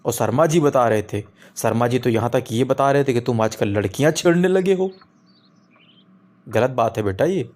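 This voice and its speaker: A man's voice: mid-range voice, moderate pace, a little mellow, natural voice. A man voicing an exasperated, preachy middle-aged father in a comic cartoon. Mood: stern lecturing. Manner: preachy, foul-mouthed. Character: exasperated middle-aged Indian father scolding his wayward son.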